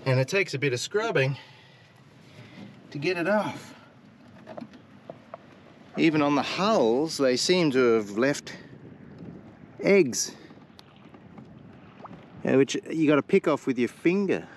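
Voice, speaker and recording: A man's voice: fairly high pitch, expressive, takes it slowly, long pauses, a little hiss or hum. A middle-aged man chatting casually on his sailboat, rambling and exclaiming as he talks, recorded on a camera mic. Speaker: sailing vlogger. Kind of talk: casual vlog chat. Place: on a sailboat at night, then in the cabin.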